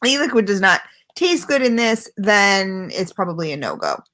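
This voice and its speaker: A woman's voice: mid-range voice, big pitch swings, moderate pace, a bit bright. A woman chatting casually at home as she reviews a product for her channel, rambling and self-deprecating, with lots of fillers. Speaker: vlogger reviewing e-liquids. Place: at home, not her usual setup.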